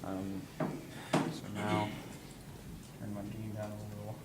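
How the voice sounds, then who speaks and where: A man with a fairly low voice talks through a hands-on equipment demonstration. He is informal and halting, often thinking aloud as he works. The recording is very noisy. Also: monotone. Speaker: instructor demonstrating audio equipment. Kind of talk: casual hands-on tutorial. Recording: clearly noisy room recording with handling sounds.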